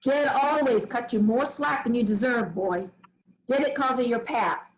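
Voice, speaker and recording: A woman's voice: fairly high pitch, unhurried, a little hiss or hum, natural voice, low-quality recording. A woman playing a scornful old farm matriarch. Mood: defiant. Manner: curt, defiant, scornful. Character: hard-bitten farm matriarch, older woman, sharp-tongued. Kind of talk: staged play reading.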